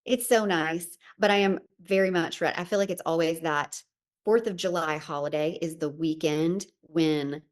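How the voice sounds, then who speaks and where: A woman's voice: low-pitched, steady tone, normal speed. A woman from Tennessee with a Southern accent, telling her story as a podcast guest in a chatty, friendly way. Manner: chatty, warm storytelling with fillers. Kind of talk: casual interview chat.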